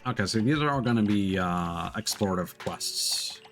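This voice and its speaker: A man's voice: fairly low pitch, animated, moderate pace, faint music behind. A man giving casual let's-play commentary as he streams a video game. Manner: reads game dialogue aloud in character voices.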